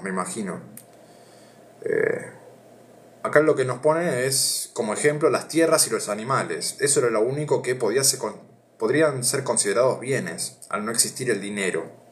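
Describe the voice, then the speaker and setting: An Argentine man with a Rioplatense accent, reading philosophy aloud on a live stream and chatting with viewers, thinking aloud with many hesitations. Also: medium pitch. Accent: Argentine Rioplatense Spanish. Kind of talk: casual live reading and chat.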